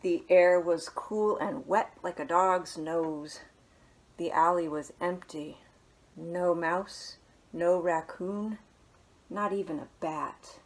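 A woman in her thirties reads a picture book aloud in a small living room, recorded on a camera in front of her. Her voice sits a little low and she takes her time, gentle, with hushed asides. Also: slow pace. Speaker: children's librarian.

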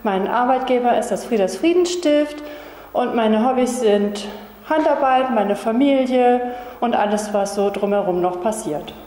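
A woman from Lower Saxony introduces herself cheerfully into a handheld mic. Her voice is fairly high and rings in an echoey hall. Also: measured pace, dark tone. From Lower Saxony, Germany. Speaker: nursing student contestant. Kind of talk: self-introduction on camera.

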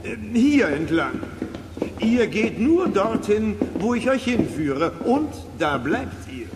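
An actor playing a strict, cranky eccentric who owns a spooky house, scolding and commanding in a children's radio play. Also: male voice, sits high, big pitch swings, slow pace, heavily acted. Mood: gruff, suspicious. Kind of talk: German audio drama acting. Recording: long reverb, clearly noisy.

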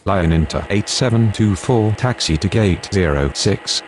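A low male voice , acted for an air traffic game, calm and procedural. He delivers clipped aviation radio phrases over a noticeable bed of ambient noise. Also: unhurried.

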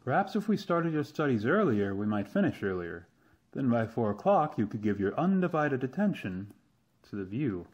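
A man acting a mild, cautious young tutor in an amateur radio drama, gently admonishing in a measured way. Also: mid-range voice, very animated. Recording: dull, lo-fi sound.

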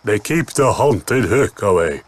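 A man voicing a male car who tells a spooky tale in slow, dramatic storytelling. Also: on the low side, expressive, slightly rough, full character voice. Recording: hissy background noise.

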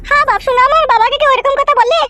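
A woman's voice: extremely high pitch, rapid-fire, very noisy. A woman voicing a foul-mouthed, combative village mother in a cartoon. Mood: indignant. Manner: scolding, crude, combative.

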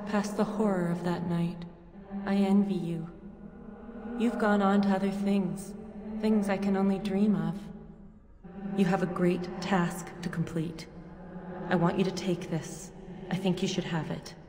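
A woman plays a wistful female elf spirit in a fantasy game. Her earnest, gently reproachful voice sounds distant, with strong echo and noisy ambience around it. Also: medium pitch, steady tone, measured pace, pauses often, natural voice. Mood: wistful, hopeful. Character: wistful female elf, an old friend's apparition. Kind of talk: scripted fantasy game dialogue.

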